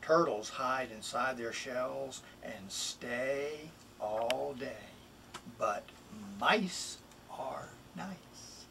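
A middle-aged man, a children's author, introducing and expressively reading a picture book in a deliberate, emphatic way. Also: medium pitch, creaky, sharp tone. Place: small wood-panelled home office, indoors. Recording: distant mic, room noise.

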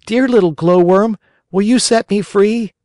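A man's voice: high-pitched, a little slow, a little mellow, dry recording, clean studio sound, pitched above the performer's own voice. A man voicing a frightened young marionette boy in a children's storybook, whining and pleading emphatically.

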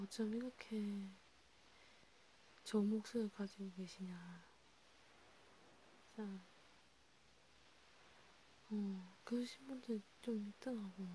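A woman speaking in a hushed, rambling ASMR style, half whispering, as if chatting one-to-one with a listener. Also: mid-range voice, a little hiss or hum, low-quality recording. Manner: hushed, rambling, intimate one-sided conversation. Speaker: ASMR creator. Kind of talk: soft ASMR roleplay chat, partly whispered.

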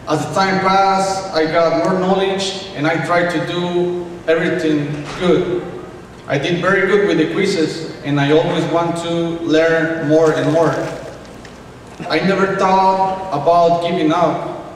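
A young man speaks a little high for a man, earnest and careful. He sounds far from the mic in a very echoey room, with clear ambience behind him. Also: a little hiss or hum. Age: young adult. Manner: careful, earnest, with some restarts. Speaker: English language student giving a speech. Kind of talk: prepared speech. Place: small classroom indoors, at night. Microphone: room microphone at a distance. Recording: echoey room, picked up from afar.

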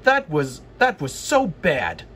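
A man voicing grumbling railway coaches in a children's storybook, groaning and complaining in drawn-out protest. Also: on the high side, wildly swooping pitch, a bit bright, a little hiss or hum, exaggerated voice. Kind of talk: children's storybook read-aloud.

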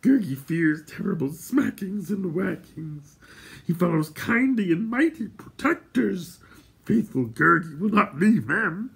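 A man's voice: high-pitched, big pitch swings, a little slow, vocal fry, fairly bright tone, husky, pitched above the performer's own voice, heavily acted. A man voicing a cringing, hungry wild creature in a storybook, fawning and self-pitying, with whining, howling, childlike speech. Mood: fearful pleading.

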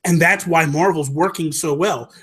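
A man's voice: a little high, moderate pace, a bit bright, husky. A middle-aged man chatting casually as a podcast co-host, making his points emphatically and repeating them for stress. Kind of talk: casual opinionated chat. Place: small home room. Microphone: desk microphone, headset headphones.

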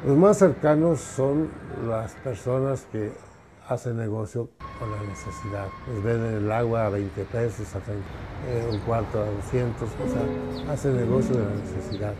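An older man, a priest who runs a migrant shelter, speaking earnestly and in a measured way in a documentary interview. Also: medium pitch, rough recording. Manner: earnest, measured, pausing with fillers. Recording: guitar music underneath.

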